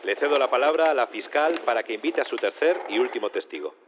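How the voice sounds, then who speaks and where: A man acting as a solemn, procedural judge in a sci-fi courtroom audio drama, formal and measured. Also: medium pitch, lively intonation, lo-fi sound, noisy background, close to an everyday voice. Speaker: actor in audio fiction.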